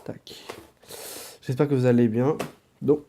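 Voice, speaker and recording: A man with a head cold and sore throat chatting casually while opening card packs, now and then muttering quiet asides as he handles the cards. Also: mid-range voice, steady tone, a little slow, slight background noise.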